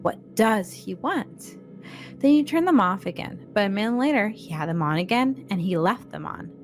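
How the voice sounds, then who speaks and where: A woman's voice: mid-range voice, very animated, a little fast, darkish tone, faint music behind. A woman co-hosting a read-along, telling a scary short story and addressing listeners informally and directly. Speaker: podcast co-host and story reader.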